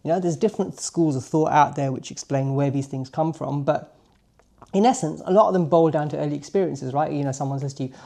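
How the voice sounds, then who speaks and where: A man in his late forties speaks with a British accent, chatting to the camera in a loose, jokey way and throwing in self-aware asides. His voice is warm and mellow. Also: a little high, fairly quick, vocal fry. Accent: British English. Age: 40s. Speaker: vlogger. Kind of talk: casual chat to camera.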